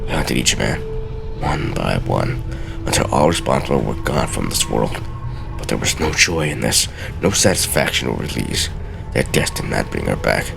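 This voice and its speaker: A man giving a solemn, deliberate, theatrical dramatic reading of journal entries from a game. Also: very deep voice, very animated, creaky. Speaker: let's play host.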